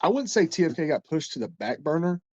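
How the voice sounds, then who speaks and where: A man's voice: a little high, sing-song, quick pace, a little mellow, no echo, lo-fi sound. Congested man rambling on a podcast. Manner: rambling, profane, with frequent restarts. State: congested, stuffed-up nose. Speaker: podcast co-host. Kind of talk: casual podcast chat.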